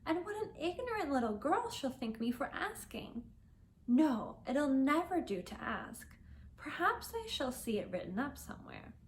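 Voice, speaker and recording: A woman's voice: high-pitched, sing-song, a little slow, slight background noise, voice pushed up. A woman voicing a curious, chatty little girl who wonders aloud to herself in an earnest way, in a storybook reading. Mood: curious, polite.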